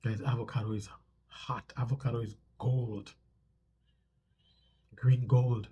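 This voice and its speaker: Husky, stuffy-nosed man, mellow voice. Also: mid-range voice, steady tone, takes it slowly, dull audio. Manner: conversational, repeats phrases for emphasis. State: stuffy nose from a cold. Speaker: vlog host. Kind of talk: casual explanatory talk to viewers.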